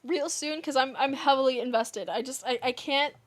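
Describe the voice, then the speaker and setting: A young woman streamer with a hoarse, worn voice, reading a dating game aloud and chatting casually to viewers. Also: sits high, normal speed. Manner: casual, playful, trailing off with restarts. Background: music.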